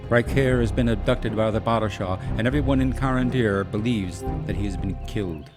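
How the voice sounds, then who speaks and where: A man's voice: lowish voice, fairly quick. A man narrating a scripted fantasy radio play in a dramatic storytelling style. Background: music.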